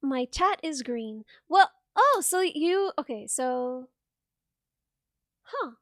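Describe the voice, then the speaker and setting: A young woman chats her way through a soldering stream, bubbly and quick to laugh as she explains each step. Her voice sits high and bright, and the sound is dry and close. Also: very animated, no echo. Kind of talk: casual live-stream chat and explanation.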